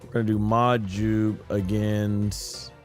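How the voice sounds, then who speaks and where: A man's voice: fairly low pitch, little pitch movement, takes it slowly, soft background music. A man giving casual, rambling live-stream gaming commentary, thinking aloud as he goes. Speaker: live streamer and amateur voice actor.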